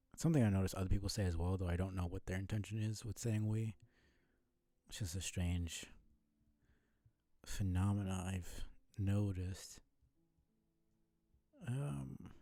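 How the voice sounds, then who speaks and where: A man streaming a video game with casual commentary, musing and thinking aloud in a low-key way. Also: creaky, warm tone, dry recording.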